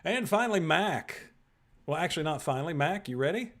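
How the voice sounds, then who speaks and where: A man hosting an improv show over a video call, lively and quick with asides and frequent laughs. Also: fairly high pitch.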